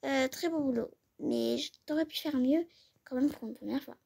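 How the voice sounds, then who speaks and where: A woman's voice: high voice, normal speed, vocal fry, a little mellow. A young woman playing a blunt, mocking female basketball coach in an improvised skit. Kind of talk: improvised role-play skit.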